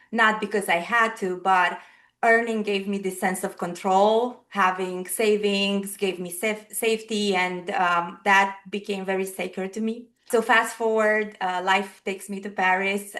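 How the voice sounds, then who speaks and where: A woman in her thirties speaks with a Romanian accent in a medium-pitched voice. She is a podcast guest, sharing personal experience in an earnest storytelling style. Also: a bit bright.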